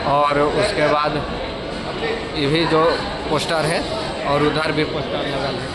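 A man's voice: mid-range voice, low-quality recording. A man in his twenties with a Jharkhand accent, narrating a casual walk-through vlog straight to viewers on a phone held at arm's length. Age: 20s. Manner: addresses viewers directly while walking around. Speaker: vlogger. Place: large indoor mall and cinema lobby. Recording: loud music over phone vlog audio. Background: loud music.

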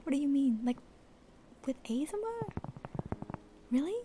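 A young woman streamer with a slight accent, chatting with viewers in a soft, airy ASMR whisper, relaxed and rambling. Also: high voice, moderate pace, background noise. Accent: slight non-American accent. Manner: relaxed, rambling, reads chat aloud. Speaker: VTuber streamer.

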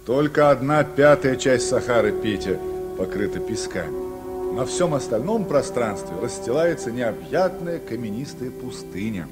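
Guy playing learned captain teaching stuff, measured, old radio play, music under it. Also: mid-range voice, lively intonation, natural voice. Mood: instructive. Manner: instructive, measured. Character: knowledgeable male captain explaining to a boy. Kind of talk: mid-century Soviet radio play acting.

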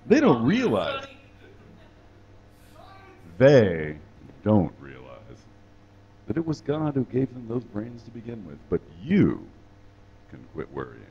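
A man voicing a folksy, smug, reassuring puppet, mock-sincere and deliberate, in a comic live puppet show on a stage microphone. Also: mid-range voice, wildly swooping pitch, warm tone, lo-fi sound, full character voice. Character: folksy, reassuring male puppet preaching smugly about God. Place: small stage in a bar, live event. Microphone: handheld stage microphone.

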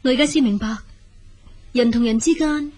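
Woman acting as young younger sister, radio drama, Cantonese, high-pitched, tentative, earnest, grateful, deferential. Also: normal speed, mellow, natural voice.

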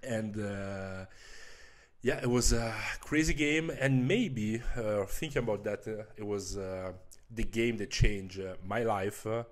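A man with a fairly low voice and a strong Italian accent talks casually in an interview. His English is halting as a native Italian speaker, and he often searches for words. He holds the microphone in his hand. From Milan, Italy. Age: 30s. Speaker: podcast guest, American football player. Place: small furnished room indoors. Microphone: handheld microphone.

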